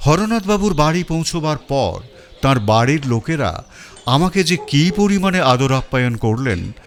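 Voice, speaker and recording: A man's voice: on the high side, sing-song, moderate pace. A man narrating a first-person detective story in a storytelling style.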